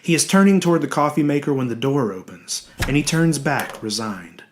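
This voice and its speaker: A man reading a horror story as dramatic, scripted narration, deliberate and suspenseful, dwelling on his words. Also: fairly high pitch, animated, noisy background.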